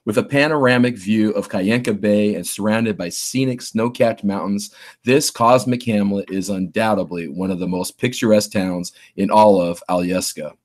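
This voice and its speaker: A man with a fairly low voice reads scripted narration for an audio drama. He sets the scene in a measured, descriptive way, like a travel guide. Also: a little mellow.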